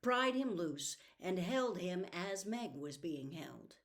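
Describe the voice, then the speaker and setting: A woman with a slightly low voice reads a novel aloud as its narrator. Her storytelling is dramatic, expressive and deliberately phrased. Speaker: audiobook narrator.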